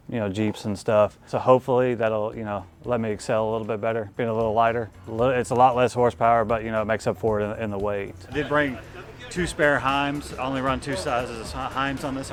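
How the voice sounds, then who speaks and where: A man in a casual interview, relaxed and rambling as he describes his off-road vehicle. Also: medium pitch. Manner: relaxed and rambling, many fillers. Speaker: off-road competitor.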